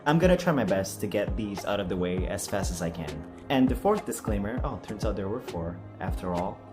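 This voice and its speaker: A man's voice: fairly low pitch, animated, a little fast, over music. A man chatting casually and unscripted as a video host, rambling in an apologetic, self-deprecating way. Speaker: amateur voice actor and video host. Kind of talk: casual unscripted vlog chat.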